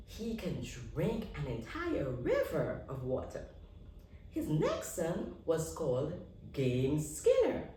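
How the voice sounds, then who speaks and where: Children's read-aloud storyteller, female, hugely animated and unhurried, engaging listeners directly, distant mic. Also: low-pitched. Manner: expressive, addresses listeners with questions, dramatic pauses. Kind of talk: animated picture-book storytelling to young children. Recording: echoey room, distant microphone.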